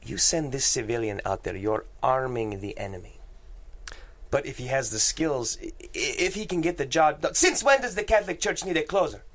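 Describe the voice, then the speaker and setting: Man as a gravelly, emphatic churchman. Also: medium pitch, animated, moderate pace, a bit bright. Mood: heated, alarmed. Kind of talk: table-read acting.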